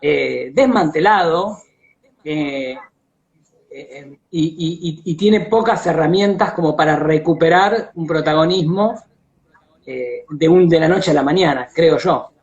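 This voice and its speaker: An Argentine man hosting a casual, reflective live conversation over a video call, talking haltingly with frequent tag questions. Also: fairly high pitch. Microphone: live social media video call. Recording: dull lo-fi internet call audio.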